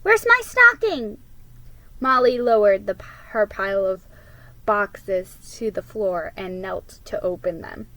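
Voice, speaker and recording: A woman's voice: medium pitch, a little slow, slight background noise, poor audio. A woman giving a dramatic reading of a children's story, expressively acting out the dialogue. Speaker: storybook reader.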